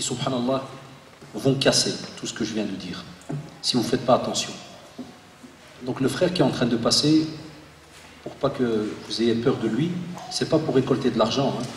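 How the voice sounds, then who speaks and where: A man in his forties, born in Belgium, giving a lively religious talk to an audience and joking with the crowd. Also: mid-range voice, background noise. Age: 40s. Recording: echoey hall, voice from far off.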